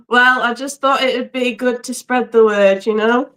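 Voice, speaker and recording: A woman from England, a guest on a live stream, chatting warmly and laughing often over a video call from home. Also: a little high. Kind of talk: casual live-stream chat. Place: home by the sea.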